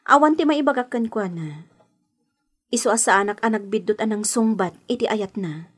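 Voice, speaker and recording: A woman's voice: mid-range voice, expressive, moderate pace, lightly acted. A woman acting a trusting, troubled young girlfriend in a radio drama, with a Filipino accent. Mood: wistful.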